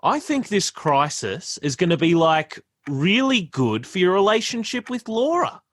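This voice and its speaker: Man acting a rambling, laid-back young stoner, animated pitch. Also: a little slow, no echo, studio quality, exaggerated voice. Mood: laid-back musing. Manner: rambling, hesitant, slangy. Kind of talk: radio play acting.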